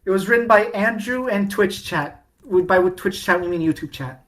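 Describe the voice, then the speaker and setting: A woman's voice: medium pitch, normal speed. Woman podcast co-host, chatty fan talk, loose and rambling, frequent false starts. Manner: rambling, many restarts and fillers, laughs often. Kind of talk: casual fandom chat.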